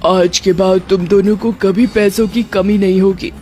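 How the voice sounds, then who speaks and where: A woman's voice: medium pitch, fairly even intonation, moderate pace, mellow, soft background music, close to an everyday voice. A woman voicing an earnest, pleading young witch mother who longs for her child, in a storybook tale. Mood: grateful, tender.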